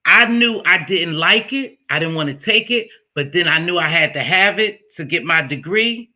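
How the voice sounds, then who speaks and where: Guy hosting a casual interview, high pitched, super bright tone, chatty and storytelling. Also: lively intonation, normal speed. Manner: chatty, storytelling, asks questions. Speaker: interview host.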